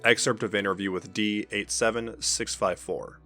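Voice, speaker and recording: Guy with a lowish voice reading a formal report, measured, faint music behind him. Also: fairly even intonation, bright, forceful tone. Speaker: narrator. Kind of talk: scripted narration of a fictional scientific report.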